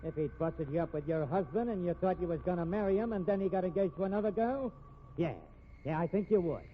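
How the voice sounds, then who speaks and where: A dull, faintly noisy old radio recording of a man acting a hard-nosed detective. His voice sits fairly high for a man. He talks in blunt slang, pushing and needling like a bully with a badge. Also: fast talker, close to an everyday voice. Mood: accusing. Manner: slangy, tough-talking, sardonic. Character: gruff, streetwise, bullying male police detective. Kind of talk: 1950s radio drama acting.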